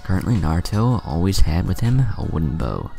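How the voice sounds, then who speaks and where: A man reading a fan fiction story aloud in a plain, steady way, with the odd slip. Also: low-pitched, a little fast, creaky, soft background music.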